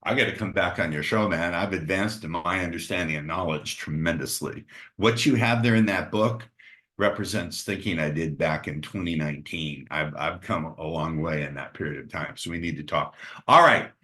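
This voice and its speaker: Deep bright-voiced male podcast host. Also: moderate pace. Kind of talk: interview podcast wrap-up.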